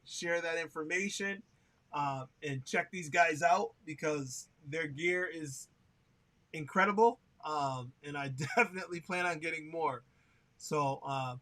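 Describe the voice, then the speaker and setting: Bright-voiced chatty male host. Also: fairly high pitch. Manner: chatty, laughs often, many "um" fillers. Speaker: show host. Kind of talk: casual livestream interview.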